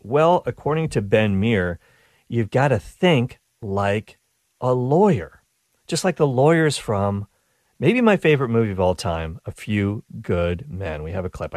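Brief: male radio host, low voice, dry studio sound, excited and chatty, slightly congested. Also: no echo. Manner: enthusiastic, chatty, frequent restarts. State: stuffed up nose. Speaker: radio talk show host. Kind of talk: upbeat radio show monologue.

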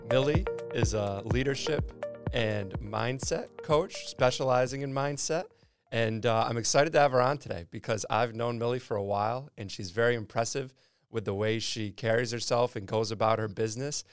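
A man's voice: medium pitch, clear, resonant tone, no echo, studio quality. A man hosting a podcast interview, friendly and rambling, repeating words while he thinks. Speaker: podcast host. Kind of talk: casual interview conversation.

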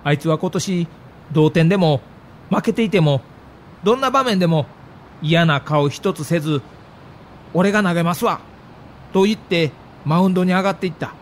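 A male actor plays a devoted relief pitcher in an audio documentary. His voice is high for a man, earnest and plain-spoken, with faint noise behind it. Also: normal speed, pauses often, natural voice. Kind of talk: acted dialogue in an audio documentary.

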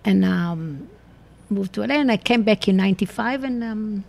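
A woman's voice: mid-range voice, moderate pace, creaky, a little mellow. A woman from Israel with an Israeli accent, reminiscing in an interview and searching for words as she goes. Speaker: longtime theater company member.

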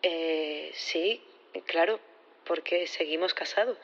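A woman acting as a nervous science professor on the witness stand in an audio drama, halting, unsure and stammering. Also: sits low, lo-fi sound, exaggerated voice. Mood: nervous, tender. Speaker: actor in audio fiction.

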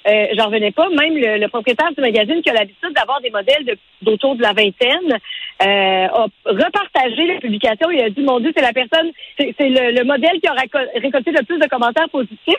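A woman in her forties with a Quebec French accent, talking as a radio interview guest in a halting, conversational way. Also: high voice, fairly bright tone, breathy edge, no room sound, poor audio. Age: 40s. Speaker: interview guest, event organizer and matchmaker.

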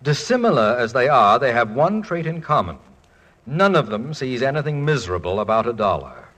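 Witty, animated college president. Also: male voice, medium pitch, brisk, a little hiss or hum. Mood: wry, indignant. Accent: American. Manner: pedantic, wordy, dryly humorous. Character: witty, dignified middle-aged male college president, wryly affectionate. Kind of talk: 1940s American radio comedy-drama acting.

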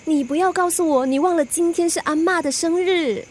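A cheeky, impatient young girl in a radio drama. Her voice is clearly high-pitched, that of somebody's outspoken daughter. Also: fairly quick. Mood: patient, concerned.

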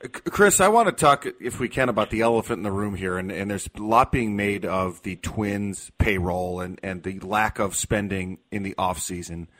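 Lower-voiced male sports radio host. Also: normal speed, hardly any pauses, a bit bright. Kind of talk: opinionated interview questioning.

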